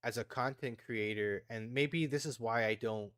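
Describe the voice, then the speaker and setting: A young man talks as a podcast guest with an everyday mid-range pitch, stopping and restarting his sentences often. The sound is dry and close, with no room around it. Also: fairly bright tone, no room sound. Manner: halting, many restarts and fillers. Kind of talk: casual chat.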